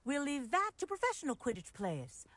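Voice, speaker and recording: A strict, proper instructor at a school of magic for a fantasy game. Her high voice moves expressively as she gives firm, formal instructions, recorded dry and close. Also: huge pitch swings, normal speed, a bit bright, no echo, heavily acted. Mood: strict, instructive. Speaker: flying teacher. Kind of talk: video game voice acting.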